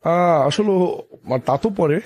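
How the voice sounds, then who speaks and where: Mellow young Bangladeshi man, halting and colloquial. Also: a little high, expressive. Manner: halting, colloquial, mixes in English words. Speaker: interview guest. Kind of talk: casual personal storytelling.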